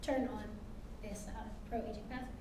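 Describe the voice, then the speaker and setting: Woman scientist guest, chatty, voice still recovering, high-pitched, echoey room. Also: fairly even intonation, moderate pace, background noise. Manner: chatty, laughs often, explains plainly. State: recovering from lost voice. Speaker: aging researcher guest. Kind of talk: casual podcast conversation.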